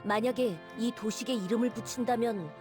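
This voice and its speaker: A woman voicing a thoughtful, serious, earnest character in a game cutscene, speaking in a measured way. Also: a little high, music in the background, lightly acted. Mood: pensive, uneasy. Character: thoughtful female living cookie, serious and earnest. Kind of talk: scripted video game cutscene acting.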